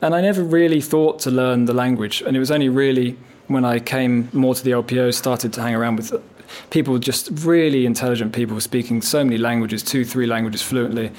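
A man's voice: mid-range voice, fairly even intonation, a little fast, few pauses, a little mellow. Chatty, self-deprecating man in his thirties. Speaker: viola player. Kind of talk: casual interview chat.

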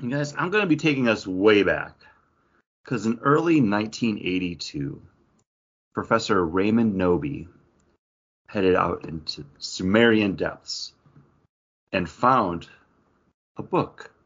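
Man, podcast co-host, lowish voice, theatrical storyteller, muffled lo-fi audio. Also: lively intonation, slow, long pauses. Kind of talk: casual chat with dramatic storytelling.

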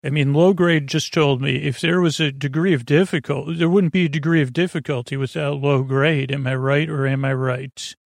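A man hosting a podcast with a creaky voice, giving a goofy, meandering monologue full of filler words and tangents, meant to help listeners fall asleep. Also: on the high side, fairly even intonation, moderate pace, hardly any pauses, no echo. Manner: goofy, absurd, self-deprecating. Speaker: podcast host. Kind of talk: rambling bedtime monologue to help listeners sleep.

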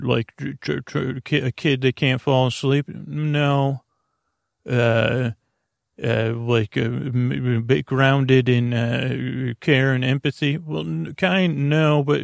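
A man's voice: mid-range voice, no room sound, clean studio sound. A man hosting a sleep podcast, rambling in a hesitant, meandering way with lots of fillers and restarts. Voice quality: creaky. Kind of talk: rambling bedtime monologue.

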